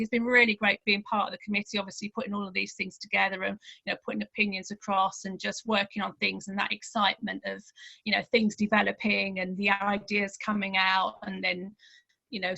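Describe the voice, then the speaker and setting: A woman's voice: mid-range voice, creaky, sharp tone, highs cut off. A middle-aged woman chatting casually and effusively as a guest. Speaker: podcast guest, amateur theatre committee member. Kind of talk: casual chat.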